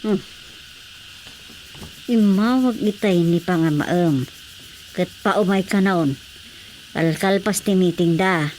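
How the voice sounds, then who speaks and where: A woman playing a lively, familiar village relative in an Ilocano radio comedy drama, with an Ilocano accent. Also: on the low side, takes it slowly, pauses often, a little hiss or hum, low-quality recording. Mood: inquiring.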